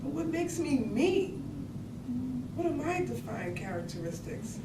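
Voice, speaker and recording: A woman performing a staged reading as a devoted, self-doubting daughter, with tender, halting poetic phrases. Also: high-pitched, big pitch swings. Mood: lost, tender. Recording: distant, echoey, heavy room noise.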